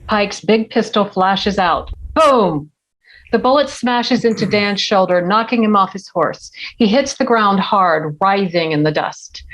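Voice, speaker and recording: Mid-pitched woman narrating a screenplay. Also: rough recording. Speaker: narrator reading stage directions.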